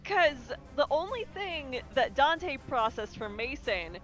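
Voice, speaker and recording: Very animated, higher-pitched woman gaming. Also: slow pace, soft background music. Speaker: player in a tabletop game. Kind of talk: casual game table talk.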